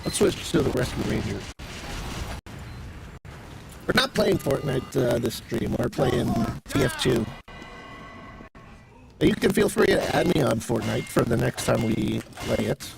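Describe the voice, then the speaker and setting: A man's voice: medium pitch, sing-song, a little slow, gravelly, pauses often, background noise. A man live-streaming a game with casual commentary, musing aloud as he thinks through his tactics. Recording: music behind the voice. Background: music.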